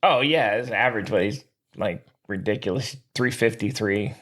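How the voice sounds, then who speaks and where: A man co-hosting a sports podcast, chatting casually in an animated, slangy fan's way. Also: fairly low pitch, normal speed. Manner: animated, slangy fan talk.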